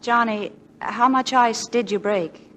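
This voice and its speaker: An actress playing a sweet but probing young bride, gently prodding, in a 1940s radio comedy-drama. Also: female voice, a little high, slow pace. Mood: wary, let down. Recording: noisy 1940s radio broadcast recording.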